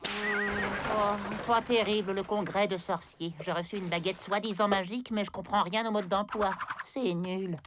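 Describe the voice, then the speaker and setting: A woman plays a disgruntled cartoon witch. Her voice sits in a middle register, with clear noise of effects behind it. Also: mid-range voice, steady tone, normal speed, natural voice. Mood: grumbling. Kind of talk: French animated cartoon acting.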